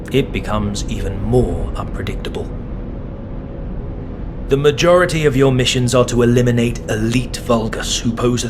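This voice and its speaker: A man's voice: mid-range voice, lightly acted. Man acting as cold, exacting military scientist over music. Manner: measured, lecturing, condescending. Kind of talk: scripted video game voice acting. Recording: music behind the voice.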